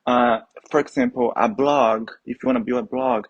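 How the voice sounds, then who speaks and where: A man's voice: medium pitch, steady tone, normal speed, no room sound, lo-fi sound. A man from Brazil whose first language is Portuguese, a guest talking eagerly in a casual interview and often restarting his sentences. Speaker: guest, HubSpot trainer.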